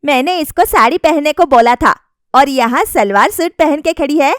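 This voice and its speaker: A stern older mother-in-law played by a woman storyteller, high in pitch and recorded dry. She nags and questions with open displeasure, in the manner of family storybook drama. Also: expressive, normal speed, no room sound. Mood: disapproving. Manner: scolding, questioning. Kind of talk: acted dialogue in a Hindi family story.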